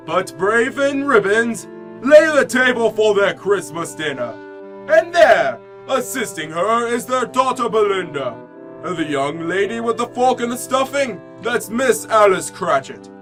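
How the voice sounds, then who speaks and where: A jolly giant spirit declaims in a high, bright voice. He is commanding and theatrical, drawing out his words slowly in old-time radio style. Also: sing-song, faint music behind, heavily acted. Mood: grand.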